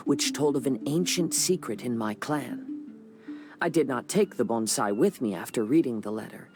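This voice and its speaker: A man playing a gentle, reflective young wandering samurai in an anime video game, speaking in a calm, measured, thoughtful way. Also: mid-range voice, lightly acted.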